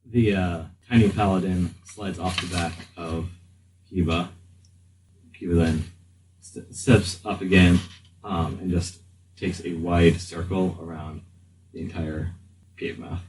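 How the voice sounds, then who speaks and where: Man, low voice, game master narration, improvised and halting, distant from the mic, faint noise. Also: steady tone, darkish tone. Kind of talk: narrating a tabletop roleplaying game.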